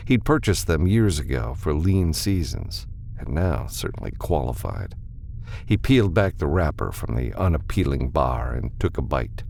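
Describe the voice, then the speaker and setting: A man narrating fiction as an audiobook reader, with a gravelly voice and a dramatic delivery. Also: rumbling low, a little mellow, slight background noise.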